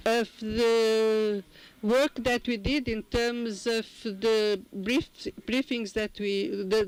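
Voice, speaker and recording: A man interpreting a speech at a formal meeting, halting and restarting often. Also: very high voice, clean tone, low-quality recording. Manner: halting, with frequent restarts and fillers. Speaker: simultaneous interpreter.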